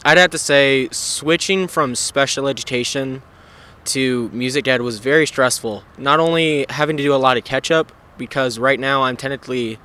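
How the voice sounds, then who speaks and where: Bright-voiced young man, earnest. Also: mid-range voice, moderate pace, clean tone. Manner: earnest, rambling. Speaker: student interviewee. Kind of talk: street interview.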